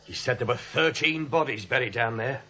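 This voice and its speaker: A man's voice: mid-range voice, normal speed, bright, forceful tone, lightly acted. An actor playing a jittery, wisecracking junior policeman in 1940s radio comedy style. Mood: eager. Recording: dull vintage radio recording.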